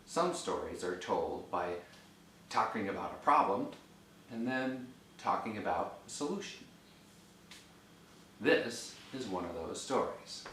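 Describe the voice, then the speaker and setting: A man reading a children's story aloud in a deliberate, expressive way. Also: lowish voice, a little hiss or hum, rough recording.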